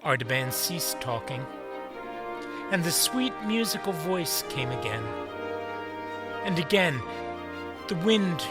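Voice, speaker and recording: A man's voice: fairly high pitch, big pitch swings, slow, pauses often. A man reading scripted dramatic narration as the narrator of a story. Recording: loud music behind the voice.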